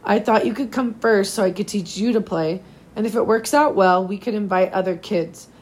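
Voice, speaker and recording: Storybook role: encouraging, persuasive male teacher read by a woman, slightly hoarse, gentle delivery. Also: mid-range voice, normal speed, close to an everyday voice. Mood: coaxing.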